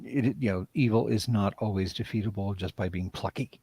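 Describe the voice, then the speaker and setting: A man's voice: on the low side, fairly quick, mellow, slightly rough, no echo. A man, a writer and director, speaking reflectively in a live-stream interview and often trailing off mid-thought.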